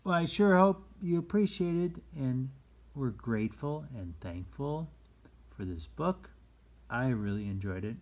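A man's voice: mid-range voice, expressive, a little slow, a little mellow. A man reading a picture book aloud to young children in simple, encouraging phrases. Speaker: read-aloud storyteller for children.

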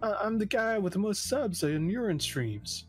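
A man's voice: high-pitched, very animated, moderate pace, soft background music. A man voicing a vain, attention-hungry young influencer in a cartoon, talking in cocky bro slang. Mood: cocky, boastful.

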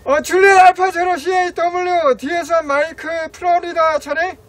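Very high-pitched man on ham radio, noisy. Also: fairly even intonation, normal speed. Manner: clipped, formulaic call-sign delivery. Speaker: amateur radio operator. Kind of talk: ham radio call exchange. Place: open farm field outdoors. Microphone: camera a few metres away. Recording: outdoor field with noisy radio sound. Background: loud ambient and radio noise.

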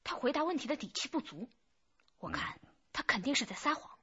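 Policewoman: firm, sceptical, slightly husky, animated, radio drama. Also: high-pitched, fairly quick, exaggerated voice. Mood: sure. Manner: pointed, firm questioning. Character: female police detective, direct, sceptical questioner. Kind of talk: Chinese radio drama acting. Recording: muffled band-limited radio drama audio.

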